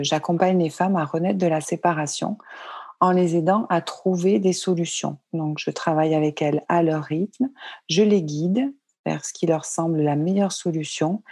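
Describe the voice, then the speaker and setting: A woman's voice: low voice, a little mellow, dry recording. A woman in her fifties from France, a coach answering interview questions earnestly, often repeating words and hesitating. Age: 50s. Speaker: coach, guest expert.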